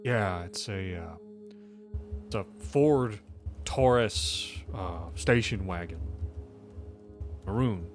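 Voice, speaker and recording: A man working as game master, narrating a tabletop game in a halting, conversational way. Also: lowish voice, soft background music.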